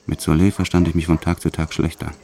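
An actor playing a brutal, proud French gangster who tells his life in measured, sombre radio play narration. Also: extremely low pitch, flat delivery, gravelly, dark tone, exaggerated voice. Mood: detached, proud.

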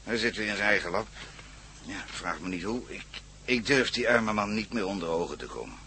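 A man's voice: fairly low pitch, expressive, brisk, bright, forceful tone, slight background noise. An actor playing a blunt, frustrated male police commissioner in a radio drama. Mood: dejected. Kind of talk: Dutch radio drama acting. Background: gunfire at a shooting range.

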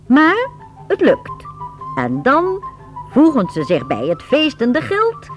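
A woman narrating a radio play in a lively, expressive storytelling manner. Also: sits high, slow, faint music behind.

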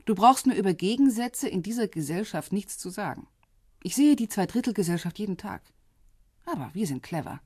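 An actress playing a self-assured, flirtatious middle-aged policewoman with gentle irony in a radio drama. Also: mid-range voice, sing-song, brisk, breathy edge, no echo, studio quality. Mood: ironic, assured.

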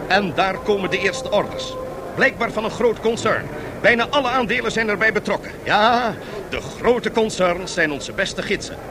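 Against noisy city ambience, a boosterish 1920s stock-exchange radio reporter. His high voice swoops about as he pitches to his listeners in theatrical radio-drama commentary. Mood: excited. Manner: theatrical, salesmanlike, addressing listeners directly. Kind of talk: 1970s Dutch radio drama, live broadcast commentary.

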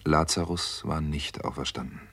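A man's voice: rumbling low, steady tone, moderate pace, creaky, fairly bright tone, husky, full character voice. An actor playing an earnest, driven doctor who recounts his story in a 1960s German radio crime drama. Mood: hushed, intense.

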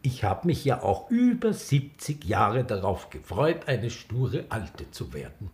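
Defiant older Austrian man, animated. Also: fairly low pitch, measured pace, slight background noise. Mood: wistful, rapturous. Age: 70s. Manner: frank, theatrical. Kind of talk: radio drama, intimate storytelling.

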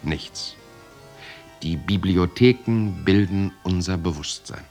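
A reflective first-person narrator in a radio drama. His voice is deep, his phrasing measured and emphatic. Music sits faintly behind him. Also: a little mellow. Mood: reverent. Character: reflective male narrator recalling his restless youth.

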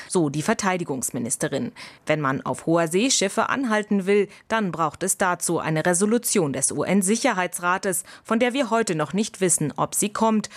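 A woman with a standard German accent reads a scripted radio report as a correspondent, factual and measured. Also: lowish voice.

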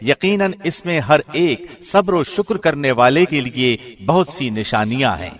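A professional male narrator reading a scripture translation in a measured, solemn, formal studio style. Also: mid-range voice, expressive. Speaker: translation reader. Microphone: studio mic.

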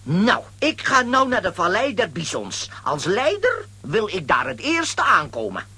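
An actor in a children's radio play voicing a boastful, excitable grown comic hero who stammers and exclaims bombastically. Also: mid-range voice, measured pace, creaky, slightly rough, full character voice. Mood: self-important.

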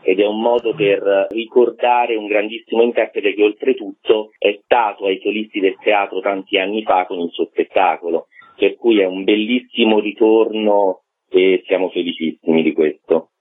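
A man with a fairly low voice talks over a narrow, tinny telephone line. He is an interview guest who explains things fluently and with promotional enthusiasm. The sound is dry, with no room around it. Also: fairly even intonation, normal speed, no echo. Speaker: festival general director, interview guest. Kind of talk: informative radio interview answers.

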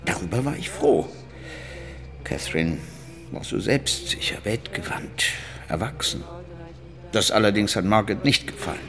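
A man's voice: fairly low pitch, very animated, moderate pace, long pauses, a bit bright, faint music behind. An actor playing a guarded, middle-aged father being questioned in a German radio drama. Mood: uneasy, defensive. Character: Alex Henry, soft-spoken father and museum man under questioning.

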